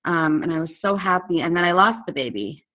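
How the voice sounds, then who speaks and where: A woman in her forties speaks in a slightly low voice, thoughtful and candid, halting now and then as she gathers her words. Her sound comes through a narrow, phone-like video call line. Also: expressive. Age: 40s. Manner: candid, reflective, halting with fillers. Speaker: poet and author guest. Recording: tinny phone-like audio over a video call.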